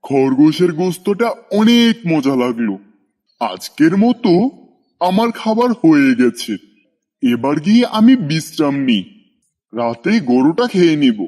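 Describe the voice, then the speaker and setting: A cruel, greedy tiger from a moral cartoon, acted by a man, pitched a little high. He is domineering and threatening, gloating over his strength and ordering weaker creatures about. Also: big pitch swings, measured pace, a little mellow. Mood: smug. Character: strong, cruel, bossy, greedy male tiger. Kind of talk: scripted cartoon dialogue.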